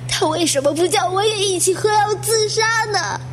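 A woman's voice: very high-pitched, moderate pace, a little hiss or hum. A woman playing a grieving, determined heroine in a radio drama, with a dramatic, intense delivery. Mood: distraught, insistent. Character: grieving, determined woman seeking truth and revenge. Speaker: voice actor. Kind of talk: radio drama dialogue and monologue. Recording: echoey, reverberant hall.

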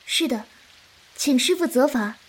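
Gentle high-voiced young female disciple. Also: lively intonation, a little slow, husky, exaggerated voice, low-quality recording. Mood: respectful, anxious. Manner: gentle, patient explaining. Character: gentle, compassionate young female disciple in martial-arts tale. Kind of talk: Chinese period audio drama acting.

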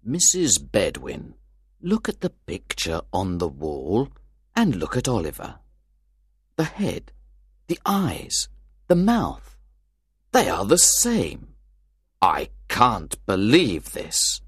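A man voicing a kind, wealthy older gentleman who speaks warmly and in a measured way in a storybook reading. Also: on the low side, huge pitch swings, slightly rough, dry recording, clean studio sound, heavily acted. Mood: astonished.

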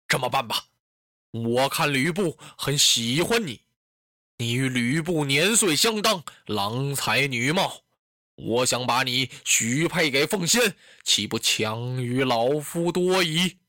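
A storyteller puts on an old lord from a historical tale, a gruff tyrant who dotes on his beauty. The pitch drops low and the delivery is grave, coaxing and self-important, in a dry recording. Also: lively intonation, slow pace, bright, forceful tone, dry recording. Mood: grave, resigned. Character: old lordly male tyrant, doting and gruff.

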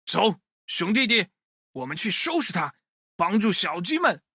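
A man's voice: high voice, big pitch swings, unhurried, sharp tone, husky, dry recording, clean studio sound, pitched above the performer's own voice, exaggerated voice. A man voicing a bold, helpful elder brother bear in a children's story, resolute and eager. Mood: determined.

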